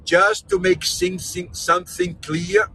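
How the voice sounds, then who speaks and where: Jokey, bright-voiced tour guide man. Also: high voice, slow pace. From Brazil, raised in Austria. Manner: jokey, energetic, non-native English. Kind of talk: live virtual tour, casual chat with viewers. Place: in a moving vehicle. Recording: vehicle noise in background.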